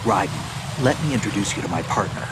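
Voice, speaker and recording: Man playing a low, gruff seasoned soldier. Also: animated, fairly quick, heavy background noise, close to an everyday voice. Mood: businesslike. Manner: terse, matter-of-fact briefing. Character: gruff seasoned male soldier, calm and resourceful. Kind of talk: video game voice acting.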